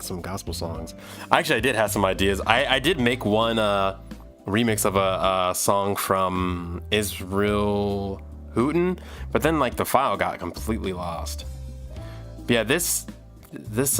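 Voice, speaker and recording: A man's voice: lowish voice, fairly bright tone. A man in his thirties chatting casually on a livestream, rambling and thinking aloud as he works. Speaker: live streamer making music. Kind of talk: casual livestream chat while working.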